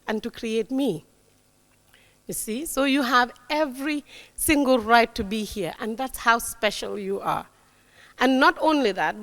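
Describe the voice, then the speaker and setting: A woman in her forties giving a motivational talk to young people, emphatic, often checking in with "right?". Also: a little high. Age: 40s. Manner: warm, emphatic, repeats key words, frequent "right?". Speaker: guest speaker. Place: lecture hall. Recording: long hall reverb.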